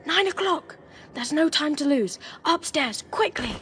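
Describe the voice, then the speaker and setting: Woman as an anxious, high-voiced youngster in an adventure. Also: animated, unhurried, fairly bright tone, faint music behind. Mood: urgent. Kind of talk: radio drama acting.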